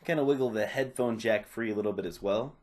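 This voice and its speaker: A man's voice: fairly low pitch, fast talker, darkish tone. A man presenting a repair tutorial, giving casual, informal step-by-step hands-on instructions. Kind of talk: casual hands-on how-to narration.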